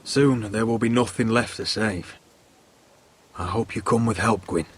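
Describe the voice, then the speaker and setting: A man's voice: on the low side, measured pace, a little hiss or hum, natural voice. A man voice acting a resolute young lord, protective of his family, in a fantasy game drama, with a solemn, firm, formal delivery.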